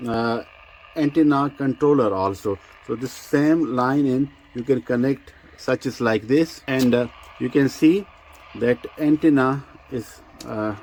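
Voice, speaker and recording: Man, non-native English speaker, medium pitch, halting explanatory delivery, repeated words, unscripted product demonstration. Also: a little mellow, a little hiss or hum, low-quality recording. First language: not English. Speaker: presenter of a vintage radio review.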